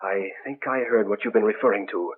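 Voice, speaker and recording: An actor playing a grave, skeptical property owner in an old radio horror drama, speaking formally and deliberately. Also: male voice, fairly low pitch, husky. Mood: puzzled, grave. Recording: muffled, phone-like old radio recording.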